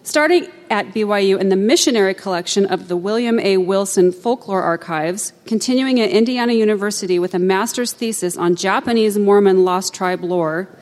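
A woman's voice: medium pitch, a little mellow, big-room sound. A woman moderating a conference session, reading formal announcements and a speaker introduction from a script. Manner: formal, reads from a script, brisk. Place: conference room.

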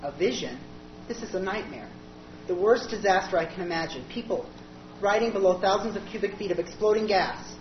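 A woman's voice: medium pitch, lively intonation, a little fast, a little hiss or hum, close to an everyday voice. A woman playing a brusque young man in charred clothes. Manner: brusque, urgent, clipped. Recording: distant, echoey, dull recording.

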